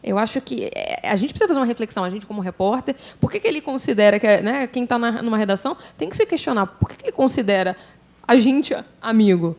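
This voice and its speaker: A Brazilian woman journalist speaking informally on a panel, thinking aloud haltingly and often adding tag questions. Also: fairly high pitch, lively intonation. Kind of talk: panel discussion, informal opinion.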